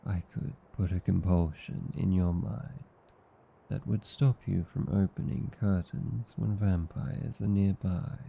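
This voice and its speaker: A man with an Australian accent playing a gentle, reassuring vampire husband in an intimate ASMR roleplay, tender and soothing. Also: dull audio, full character voice. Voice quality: low, dark, gravelly. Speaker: voice actor.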